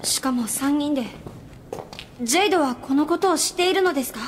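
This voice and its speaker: High-voiced, composed young male priest. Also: lively intonation, a little fast, a little hiss or hum. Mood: doubtful, polite. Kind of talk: anime audio drama acting.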